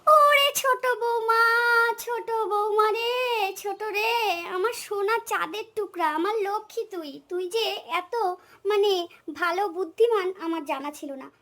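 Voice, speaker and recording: A very high, bright cartoon voice of an older mother-in-law acted by a woman. She is soft-hearted under a scolding habit and speaks with effusive, drawn-out affection. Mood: doting, amazed. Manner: emotional, effusive, drawn-out endearments. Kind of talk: comic cartoon dialogue.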